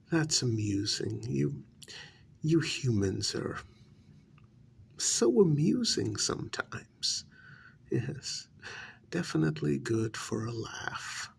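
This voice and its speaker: A cruel high-society vampire , played by a man, toys with the listener in an ASMR role-play. The voice is deeply creaky and breathy, often falling to a whisper, and it crawls along very slowly with swooping, theatrical pitch. The delivery is haughty, condescending and darkly amused. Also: exaggerated voice. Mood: mockingly amused.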